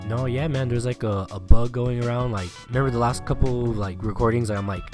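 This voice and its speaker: A man's voice: mid-range voice, a little fast, music in the background. Stuffy-nosed man hosting a podcast. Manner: rambling, laughs often, filler-heavy. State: stuffy nose, lingering cough. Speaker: podcast host. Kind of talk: casual sports podcast chat. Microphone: remote call recording.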